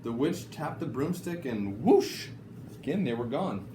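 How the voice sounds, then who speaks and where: Animated male storybook reader in echoey room. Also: medium pitch, measured pace. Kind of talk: expressive rhyming children's story narration. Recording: roomy echo, faint background noise.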